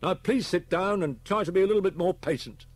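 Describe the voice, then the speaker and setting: A man voicing a firm, high-pitched British police chief. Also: fairly quick, no echo. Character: brisk, authoritative male police chief inspector. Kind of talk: audiobook character voice.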